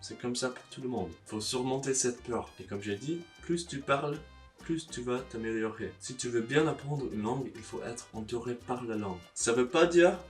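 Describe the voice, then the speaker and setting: A young man with a foreign accent talks straight to camera, blunt and wry, with casual swearing. He sits a little back from the mic. Soft guitar music plays underneath. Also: medium pitch, moderate pace, recorded from a distance. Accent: foreign, non-native French. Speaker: language-learning vlogger. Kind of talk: casual advice monologue to camera.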